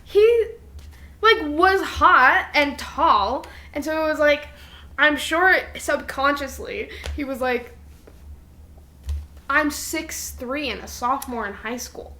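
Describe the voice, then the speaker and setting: A woman's voice: high-pitched, takes it slowly, bright, forceful tone. A young adult woman co-hosting a podcast, chatting candidly and playfully with a friend, giggly and casual. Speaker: podcast co-host.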